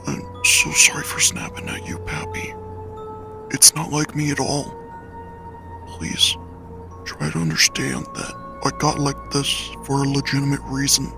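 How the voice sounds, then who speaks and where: A man voicing a laid-back, protective eighteen-year-old skeleton big brother in a comic dub, with a gravelly voice and casual drawl. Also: a little high, very animated, a little slow, over music, full character voice. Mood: tender, apologetic. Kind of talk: dramatic comic dub acting.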